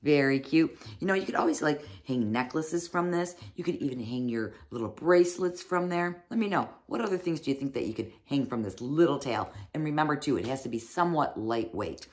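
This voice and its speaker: Low-voiced chatty woman unboxing. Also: lively intonation, fairly quick, few pauses, a little mellow. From United States. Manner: chatty, fond of "little" and "like". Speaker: unboxing reviewer. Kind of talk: casual product unboxing. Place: small cozy room indoors. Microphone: fixed camera, seated at desk.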